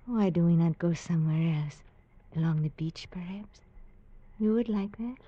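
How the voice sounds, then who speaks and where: A woman's voice: on the low side, a little hiss or hum, close to an everyday voice. A woman playing a shy coy island girl. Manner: soft, halting, formal speech. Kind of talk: 1950s radio drama acting. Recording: dull old radio recording.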